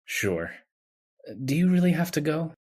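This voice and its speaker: A man's voice: fairly high pitch, sing-song, a little slow, a little mellow, breathy edge, full character voice. A man playing a mild young hospital intern in a radio drama, casual and agreeable. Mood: easygoing, agreeable. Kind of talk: old-time radio drama acting.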